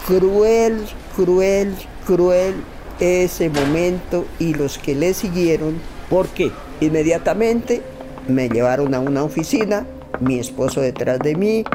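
A woman's voice: fairly low pitch, slow, noisy background. A middle-aged woman telling a personal story informally and emphatically, acting out remembered conversations. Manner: emphatic, acts out remembered dialogue. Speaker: interview guest telling a travel story.

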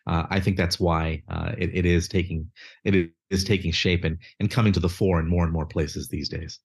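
A man's voice: low-pitched, steady tone, moderate pace, creaky, husky. A man answering interview questions, articulate and methodical, listing points with a few fillers. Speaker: guest, education nonprofit chief executive.

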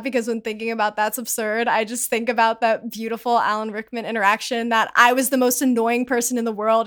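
High-voiced young woman, excited storyteller. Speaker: podcast guest. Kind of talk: casual chat.

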